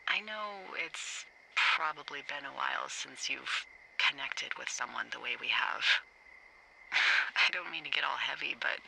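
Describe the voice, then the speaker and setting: A woman's voice: extremely low pitch, creaky, pushed and bright, slight background noise, exaggerated voice, low-quality recording. A woman with an American accent playing a wry, flirtatious fire lookout in a video game, talking candidly over a two-way radio. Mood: tender, flirtatious. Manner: wry, teasing, conversational.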